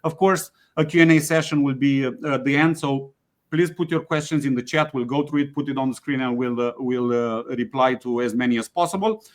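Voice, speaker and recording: A man hosting a webinar, speaking haltingly with frequent fillers and restarts. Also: medium pitch. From Romania. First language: Romanian. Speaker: webinar host, company CEO. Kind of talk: hesitant webinar presentation with many fillers.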